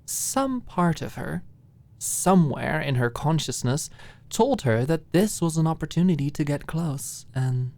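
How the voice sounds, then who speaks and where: A woman narrating a scripted audiobook in ASMR style, with soft phrases that drop into a hush. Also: very deep voice.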